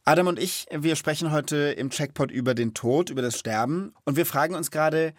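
A man's voice: fairly high pitch, a little fast, dry recording, clean studio sound. A man hosting a children's podcast, talking and asking questions in a conversational way. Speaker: podcast host.

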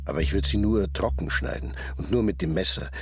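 A man's voice: sits low, a little fast, soft background music, lightly acted. An actor playing a brooding, plain-spoken hairdresser, a fisherman's son, in a reflective radio drama that mixes narration and inner monologue. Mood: persuasive, casual. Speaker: actor who also narrates his figure.

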